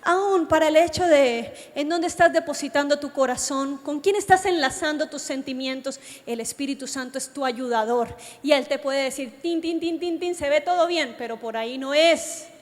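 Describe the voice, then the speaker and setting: A Colombian woman preaches in a high voice, with long reverb ringing around her. She speaks straight to her listeners and tells her testimony like a story. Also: moderate pace, hardly any pauses. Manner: animated storytelling, addresses listeners directly. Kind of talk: youth sermon with personal testimony. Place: large reverberant meeting hall. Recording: long hall reverb from a sound system.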